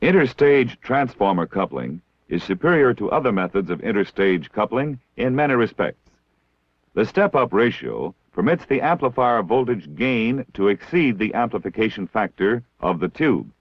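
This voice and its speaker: An American man reading scripted instructional narration in a measured, formal, didactic way, like a 1960s military training film. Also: lowish voice, very animated, no echo. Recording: dull, lo-fi 1960s film soundtrack.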